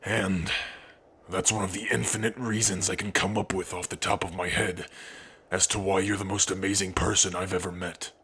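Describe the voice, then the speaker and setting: Man acting a deep, husky, tender orc husband. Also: flat delivery, fairly bright tone, exaggerated voice. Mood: loving, protective. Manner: slow, tender, sighing, with pauses. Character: big strong orc husband, tender, devoted and protective. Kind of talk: intimate ASMR roleplay acting.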